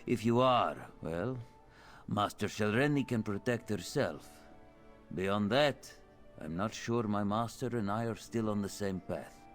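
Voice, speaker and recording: Actor as a deep, dry male tower official. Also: measured pace, soft background music, close to an everyday voice. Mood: sardonic. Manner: formal, dry, sardonic. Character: wary, dry male tower official serving an aloof wizard. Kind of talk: scripted fantasy video game dialogue.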